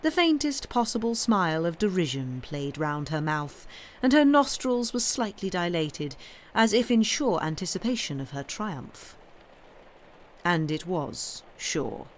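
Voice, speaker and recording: A woman narrating a Victorian novel as an audiobook reader, with expressive, measured storytelling and emphatic phrasing. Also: fairly low pitch, slight background noise. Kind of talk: literary narration of a Victorian novel, reading aloud.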